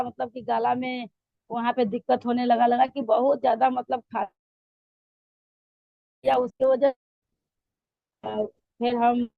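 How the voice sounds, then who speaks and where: Hoarse high-voiced woman, halting testimony. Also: no room sound, highs cut off. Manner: hesitant, many fillers and restarts. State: hoarse throat. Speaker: church member giving testimony. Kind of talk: spoken testimony to a pastor.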